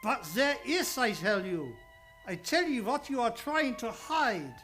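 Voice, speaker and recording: A man's voice: very high voice, very animated, measured pace, bright, forceful tone, soft background music, full character voice. A man playing a fatherly older psychoanalyst in an old-time radio drama, coaxing and insistent, with slightly broken phrasing. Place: radio studio, live radio play.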